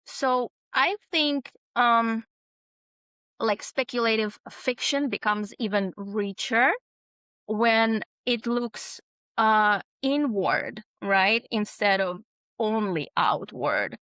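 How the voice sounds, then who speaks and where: Slow-spoken woman, middle-aged. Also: a little high, sharp tone, no room sound. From Russia. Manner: thoughtful, frequent "um" and "right?", tag questions. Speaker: author guest. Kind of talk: conversational interview answer.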